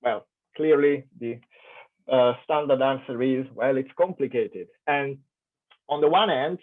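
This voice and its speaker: A man's voice: medium pitch, a little slow. A man from Italy with an Italian accent, giving a keynote lecture with lots of fillers and restarts. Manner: thoughtful, many fillers and restarts. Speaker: keynote speaker. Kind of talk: academic lecture. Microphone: online conference call. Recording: tinny phone-like audio.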